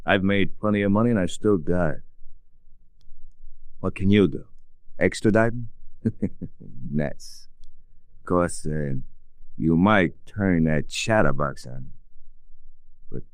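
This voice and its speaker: An actor playing a slick, cynical racketeer villain in a 1940s radio drama, with a smug, sardonic manner. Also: male voice, low-pitched, slow, long pauses, no echo. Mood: smug, nonchalant.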